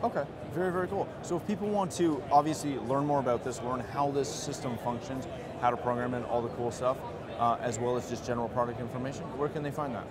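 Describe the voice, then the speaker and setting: A man's voice: mid-range voice, fairly even intonation, fast talker, over a noisy crowd. A man in his thirties hosting an on-location interview at a busy trade show booth. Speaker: interviewer, host. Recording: very loud trade show floor noise.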